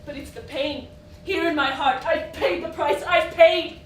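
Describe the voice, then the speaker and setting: A woman's voice: sits high, unhurried. A woman playing the bitter, jealous, impulsive inner self of a society wife, blunt and unrestrained in a theatrical style. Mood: anguished, accusing. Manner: blunt, vehement, emotionally unrestrained. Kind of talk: theatrical stage acting. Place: indoor theatre stage, picked up from afar. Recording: roomy, distant stage recording with noise.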